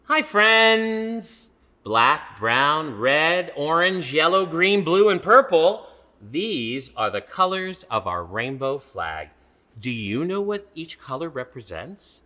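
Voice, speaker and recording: A man's voice: a little high, very animated, slow pace, pushed and bright. A man co-hosting a children's storytime, reading aloud emphatically and addressing young listeners directly.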